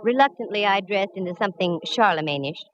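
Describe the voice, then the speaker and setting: An actress playing a tough, glamorous, wisecracking private eye with an American accent, dry and brisk, in a 1940s radio drama. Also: female voice, mid-range voice, expressive, music in the background, natural voice. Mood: wry narration. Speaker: lead and narrator. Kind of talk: 1940s radio detective acting. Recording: dull, noisy 1940s radio recording.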